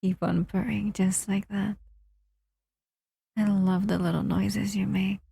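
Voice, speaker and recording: A woman's voice: medium pitch, little pitch movement, slow pace, gravelly, warm tone, husky, no room sound, exaggerated voice. A woman voicing a captive female shadow monster who soothes and cuddles the listener in a close, intimate audio roleplay. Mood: tender, soothing. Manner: soothing, intimate, often whispering.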